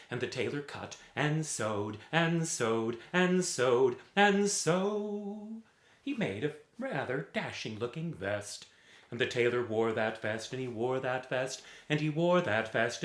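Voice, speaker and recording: A man's voice: medium pitch, echoey room. A man telling a folk tale as a theatrical storyteller, dramatic and rhythmic, drawing out repeated phrases. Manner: dramatic, rhythmic with drawn-out repetitions.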